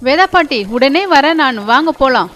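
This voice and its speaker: A woman's voice: high voice, animated, moderate pace, fairly bright tone. A woman voicing an eager, helpful young male animal friend in a children's cartoon, speaking in an urgent, friendly way.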